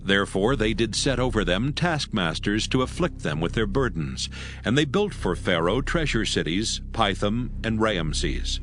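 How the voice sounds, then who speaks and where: Low-voiced, solemn male scripture narrator. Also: very animated, unhurried, over music.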